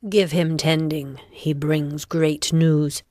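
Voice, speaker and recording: Lady doing a shakespeare villainess noblewoman, low voice, really slow n intense, close dry sound. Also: little pitch movement, warm tone, no echo. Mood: imperious. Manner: deliberate, intense. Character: ambitious, scheming, commanding noblewoman wife. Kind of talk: dramatic Shakespearean reading.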